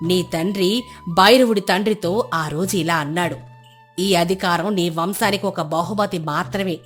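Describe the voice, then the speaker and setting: A woman acting a serene, wise sage in a folk tale, giving counsel in a measured, authoritative way. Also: fairly low pitch, faint music behind, natural voice. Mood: instructive, solemn.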